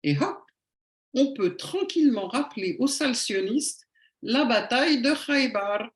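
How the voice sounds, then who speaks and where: A woman giving a prepared public lecture in a didactic, rhetorical manner, with emphatic phrasing and deliberate pauses. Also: fairly high pitch, expressive. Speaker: lecturer, conference speaker. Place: indoor room at a public talk. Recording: echoey room.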